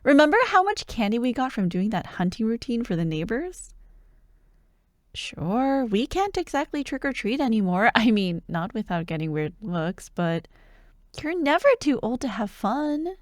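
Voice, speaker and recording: A woman playing a bubbly, bossy young childhood friend who loves Halloween, in an animated, teasing ASMR roleplay. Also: fairly high pitch, normal speed, no echo, studio quality. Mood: upbeat. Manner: animated, expressive, teasing, with laughs and sighs. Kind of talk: ASMR roleplay, one-sided acted conversation.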